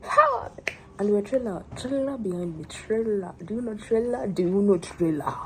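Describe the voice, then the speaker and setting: A woman with a Nigerian accent rambling casually in a personal vlog, excitable and playful, repeating words for emphasis, over a noisy recording. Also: medium pitch, fairly quick. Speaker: solo animator and showrunner.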